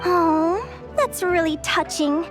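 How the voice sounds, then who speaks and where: Faint music sits under a high, youthful voice. She is a bookish young naturalist from a video game, earnest and chatty, explaining things articulately. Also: slow pace. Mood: playful. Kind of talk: video game character dialogue.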